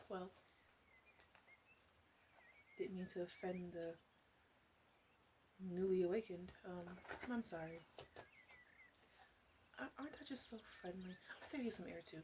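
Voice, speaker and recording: A woman acts out a teasing witch, a few hundred years old yet youthful, in an ASMR roleplay. She speaks slowly at a medium pitch, halting and coy, often slipping into a whisper. A faint noise sits behind her voice. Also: darkish tone, close to an everyday voice.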